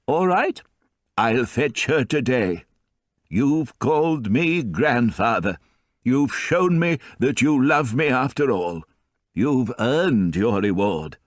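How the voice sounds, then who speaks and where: A man voicing an elderly grandfather who speaks slowly, fondly and with emphasis. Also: mid-range voice, sing-song, no echo, clean studio sound, voice pushed up. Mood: gracious. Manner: slow, fond and emphatic. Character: doting elderly grandfather, tearful and warm.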